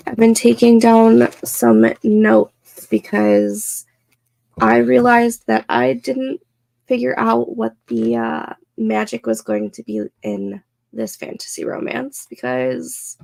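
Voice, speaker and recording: A young woman with a stuffed-up, congested nose, chatting casually and slowly while hosting a live stream of writing sprints, with many fillers. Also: fairly high pitch, vocal fry, a little mellow. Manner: slow, rambling, lots of fillers.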